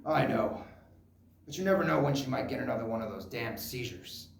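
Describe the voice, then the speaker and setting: Young man playing a creaky, stubborn old father. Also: mid-range voice, animated, brisk, a little hiss or hum. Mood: worried. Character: proud, stubborn elderly father, nearly eighty, lonely and dismissive. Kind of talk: solo dramatic interpretation on stage. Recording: roomy, echoing live recording.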